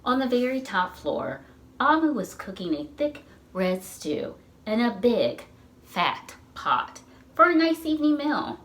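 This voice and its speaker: Animated woman reading a children's storybook. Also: on the high side. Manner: animated, slow, asks the listeners questions. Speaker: children's storytime reader at a library. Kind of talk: expressive picture-book read-aloud for young children.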